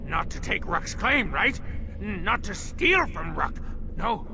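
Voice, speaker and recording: An actor playing a wretched, paranoid cave-dwelling scavenger in a video game, skittish and possessive, speaking in broken third person with a creaky, high-strung voice. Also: male voice, sing-song, takes it slowly, background noise, exaggerated voice. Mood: twitchy, wary. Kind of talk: video game character acting.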